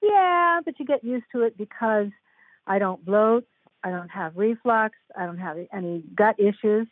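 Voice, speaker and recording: An older woman chatting frankly and warmly as an interview guest, laughing easily as she talks. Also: medium pitch, animated, moderate pace, no room sound. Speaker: guest, author. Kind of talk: casual interview chat. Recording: dry, tinny phone-like line.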